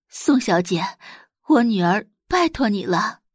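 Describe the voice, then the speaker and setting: A woman narrator acts a worried, well-mannered mother in an audio drama. The pitch moves expressively, the voice is a touch husky, and the manner is hopeful and polite. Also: lively intonation, slow pace, no room sound, studio quality. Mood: anxious, hopeful. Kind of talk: Chinese audiobook drama dialogue.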